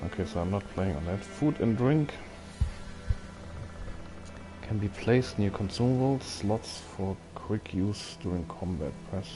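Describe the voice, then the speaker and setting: A man's voice: fairly low pitch, lively intonation, measured pace, long pauses, music in the background. A man giving casual let's play commentary, muttering wry asides and reading game text aloud. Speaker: gamer playing and commenting.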